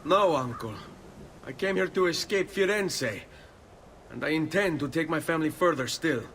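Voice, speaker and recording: A man's voice: on the high side, lively intonation, moderate pace, fairly bright tone, slight background noise. An actor playing a grieving, resolute young Italian nobleman in a video game, speaking with an Italian accent in an earnest, restrained way. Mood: guarded, puzzled. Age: young adult. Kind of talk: video game cutscene acting.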